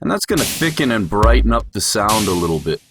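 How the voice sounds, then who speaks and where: Male tutorial instructor, lower-pitched voice, explanatory voice-over delivery with clear music underneath. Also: sing-song, brisk.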